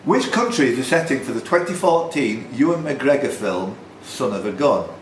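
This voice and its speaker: A man's voice: mid-range voice, big pitch swings, moderate pace. Male pub quizmaster in a roomy, noisy space. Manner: informal, with chatty asides between questions. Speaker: pub quiz host and question reader. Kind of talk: reading quiz questions and scores aloud. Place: indoor pub. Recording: roomy pub recording with background noise.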